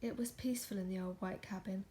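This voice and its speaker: A woman reading a picture book softly in an ASMR storytime style, often slipping into a whisper and cooing to a pet. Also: mid-range voice, steady tone, quick pace. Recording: faint background noise.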